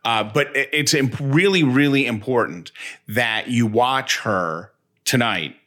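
A man's voice: medium pitch, measured pace, pushed and bright. A man co-hosting a podcast in casual, conversational banter. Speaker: podcast co-host.

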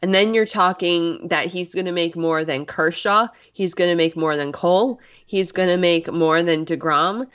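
A fairly low-voiced woman with a congested voice, chatting enthusiastically on a casual sports podcast. She often restarts her sentences. The recording sounds dull and lo-fi. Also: normal speed, few pauses, fairly bright tone, smooth. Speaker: podcast co-host.

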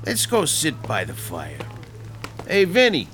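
A man's voice: on the high side, wildly swooping pitch, slow pace, fairly bright tone, exaggerated voice. A touchy mob boss from Brooklyn, thirty and proud of his books, his tough-guy grammar slipping and then corrected. His voice swings widely up and down as he brags. Music plays under this radio play. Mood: boastful. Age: 30s. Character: vain self-taught male mob boss, thirty, touchy. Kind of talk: radio drama acting. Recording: radio drama with music behind.

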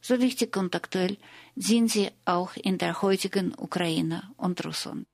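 A woman reading scripted narration for a radio feature in a measured, even way. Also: fairly low pitch, no room sound.

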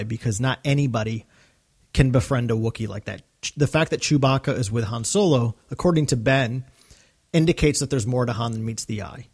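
A man's voice: mid-range voice, a little mellow. A male podcast host with a mid-range voice talks casually. He recaps and discusses in an easy conversational style, as a fan would.

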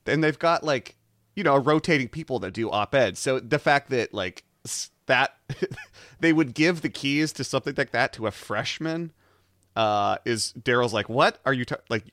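A man co-hosting a podcast in casual chat, explaining things in a halting way and often interrupting himself. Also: medium pitch, expressive, a bit bright, dry recording, clean studio sound. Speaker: podcast co-host. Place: close mic in a dry room, recorded over video chat.

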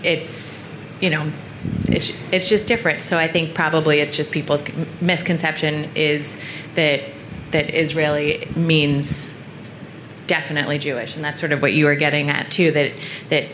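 Low-voiced woman chef on a panel. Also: little pitch movement, normal speed, background noise. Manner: agreeable, thoughtful, a few laughs. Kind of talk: live panel discussion. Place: restaurant with a live audience.

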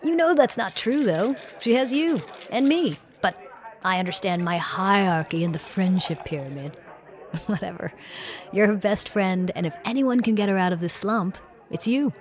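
A woman's voice: mid-range voice, lively intonation, normal speed, close to an everyday voice. A woman playing a breezy, teasing bartender in an audio drama. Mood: wry, supportive. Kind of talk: naturalistic audio drama acting.

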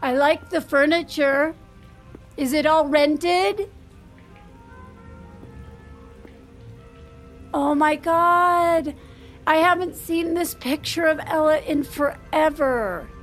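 A woman's voice: sits high, slow, soft background music. An actress playing an awkward, flustered newly single mother in a naturalistic audio drama, speaking hesitantly and stammering nervously. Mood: curious, tipsy.

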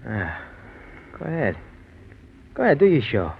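A man's voice: medium pitch, huge pitch swings, normal speed, creaky. An actor playing a controlling, suspicious gangster with slangy tough-guy delivery in a 1940s radio drama. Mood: threatening. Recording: muffled, noisy old radio recording.

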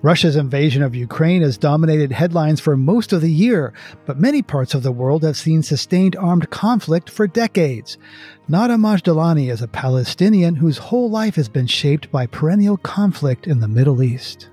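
A man's voice: fairly high pitch, lively intonation, moderate pace, mellow, husky, faint music behind. A man hosting an interview programme, moving between scripted narration and questions to his guests.

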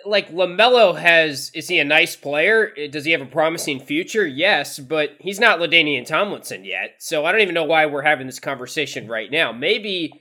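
Bright-voiced man co-hosting. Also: a little high, lively intonation, normal speed, hardly any pauses. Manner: conversational, easygoing, laughs along. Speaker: podcast co-host. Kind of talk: casual sports talk.

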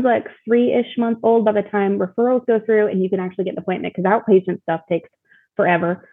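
A woman's voice: mid-range voice, slightly rough, dry recording. A young woman guest telling a personal story casually and candidly, in informal speech peppered with "like". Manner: informal, candid, often uses "like". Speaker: interview guest, mother sharing her experience. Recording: thin, phone-like call audio.

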